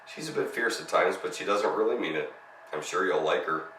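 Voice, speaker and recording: A man's voice: sits low, brisk, bright, forceful tone, roomy sound, far from the mic, slight background noise, rough recording. A man voicing a friendly, reassuring girl who is eager to help, in a storybook.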